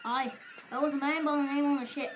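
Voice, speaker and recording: A woman's voice: high voice, steady tone, fast, muffled recording, natural voice. A woman playing a cagey male sailor in an amateur audio drama. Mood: evasive. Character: cagey male sailor with old salt talk. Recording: noisy background with clicks, bird honks.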